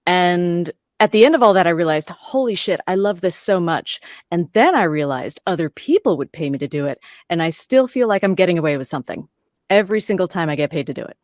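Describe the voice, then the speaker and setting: A woman's voice: lowish voice, animated, brisk, a little mellow, no room sound, studio quality. A woman voice actor casually telling a personal story with a wry, self-amused manner. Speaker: voice actor, panel guest.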